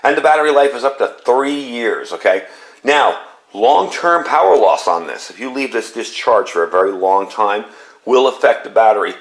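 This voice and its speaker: A man's voice: mid-range voice, lively intonation, moderate pace. A man giving a casual, plain-spoken, hands-on product review in a conversational, explanatory way. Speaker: gadget reviewer presenting a product demonstration. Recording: noisy, with radio static and background hiss.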